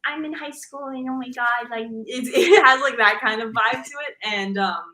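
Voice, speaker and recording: Young woman with a deep, bright voice. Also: moderate pace, reverberant. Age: young adult. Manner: chatty, fills with "like" and "um", laughs easily. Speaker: guest singer. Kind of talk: casual interview chat.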